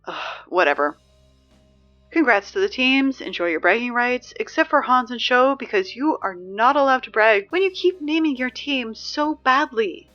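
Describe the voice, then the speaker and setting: A woman's voice: fairly high pitch, animated, normal speed, fairly bright tone, dull audio, soft background music. A woman playing a sarcastic, exasperated teenage girl who reads school announcements in a casual, conversational audio drama style. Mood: snarky, exasperated. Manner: snarky, conversational, dry.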